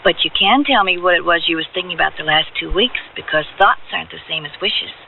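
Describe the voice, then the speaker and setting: A woman's voice: fairly low pitch, fast, creaky. An actress playing a tender, coy working-class young woman in love, with folksy speech in a radio drama. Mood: dreamy, coy. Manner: soft romantic teasing, folksy grammar. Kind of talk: old-time radio drama acting. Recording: tinny old radio recording, faint noise.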